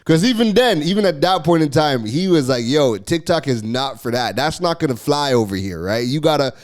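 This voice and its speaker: A middle-aged man hosting a podcast, telling a casual story in an animated way, laughing often and restarting his phrases. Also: a little high, brisk. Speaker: podcast host.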